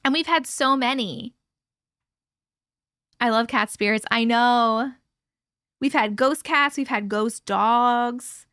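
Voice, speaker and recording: A woman's voice: on the high side, slow pace, long pauses, fairly bright tone, clean tone, no echo, clean studio sound. A woman hosting a live stream from home, chatting effusively with viewers and reading their stories aloud. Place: at home with her cat.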